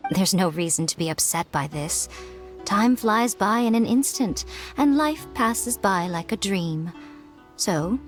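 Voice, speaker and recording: A woman voicing a wise, playful, centuries-old fox spirit lady in an anime game, teasing and measured in manner. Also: fairly high pitch.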